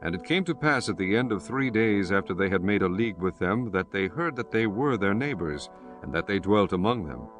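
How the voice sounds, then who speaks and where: A male audiobook narrator reads ancient scripture with formal, measured phrasing. His voice is on the low side and solemn, set over faint background music. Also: lively intonation, fairly bright tone.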